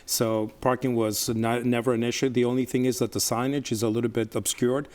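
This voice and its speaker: A man's voice: medium pitch, little pitch movement, fairly quick, darkish tone. A man who is a surgeon, chatting as a guest about a restaurant, telling it as a story with frequent fillers. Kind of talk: casual chat.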